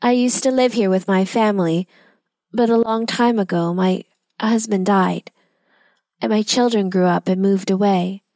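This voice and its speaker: A woman voicing a lonely old woman who acts like a threatening witch, loud and angry at first, then quiet and sad. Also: mid-range voice, unhurried, no room sound, close to an everyday voice.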